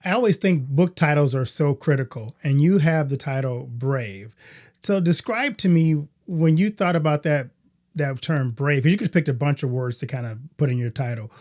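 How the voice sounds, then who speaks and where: A male host asks thoughtful, measured interview questions. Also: mid-range voice, lively intonation, darkish tone. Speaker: podcast host.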